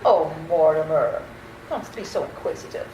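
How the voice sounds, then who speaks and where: A woman playing a sweet, serenely batty elderly aunt in a radio play, genteel and gently scolding. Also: low-pitched, animated, normal speed, distant mic. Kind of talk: old-time radio play acting. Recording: echoey room, off-mic, slight noise.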